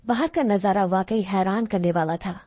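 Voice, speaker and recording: A woman's voice: mid-range voice, moderate pace, warm tone, dry recording. A woman giving a scripted narration of a horror cartoon story. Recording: music bed behind the voice.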